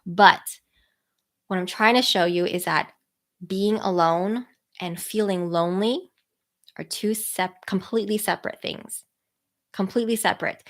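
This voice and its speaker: A woman in her thirties with a cold, talking nasally as a people skills coach on a podcast live stream, earnest and encouraging. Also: medium pitch. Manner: earnest, encouraging, slowed by a stuffy nose.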